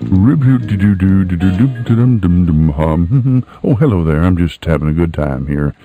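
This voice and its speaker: An older man hosting radio in a casual, unscripted way, folksy and rambling with hesitant pauses. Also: low-pitched, expressive, narrow, tinny audio, soft background music. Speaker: radio host.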